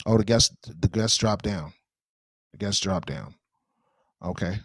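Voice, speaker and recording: Lively male call-in radio host. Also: fairly low pitch, unhurried, slightly rough, no room sound, clean studio sound. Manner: energetic, hypes callers on.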